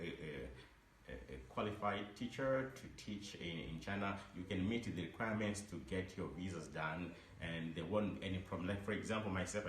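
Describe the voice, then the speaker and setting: A very low-voiced man talks informally about teaching, halting often and repeating himself as he restarts his sentences. His voice rings in a reverberant room over a faint hum of background noise. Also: a bit bright. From Zimbabwe. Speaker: English teacher. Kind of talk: informal advice talk to camera.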